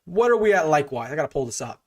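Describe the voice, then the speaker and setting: A man's voice: fairly high pitch, sing-song, racing, a little mellow. A young man hosting a casual live sports stream, opinionated, full of restarts and fillers, his voice getting a bit worn. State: losing his voice a little.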